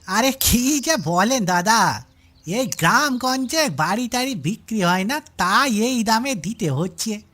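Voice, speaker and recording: A man's voice: high voice, lively intonation, slow pace, sharp tone, full character voice. A man voicing a rustic cartoon house seller who wheedles in a folksy way and pleads poverty. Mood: wheedling.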